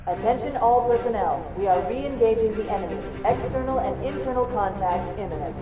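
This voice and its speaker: An actress playing a composed warship computer in a video game, giving formal, clipped announcements over a public-address system. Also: female voice, a little high, animated, fairly quick, over music. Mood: formal, urgent. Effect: ship public-address system. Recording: echoing, dull, distant public-address sound.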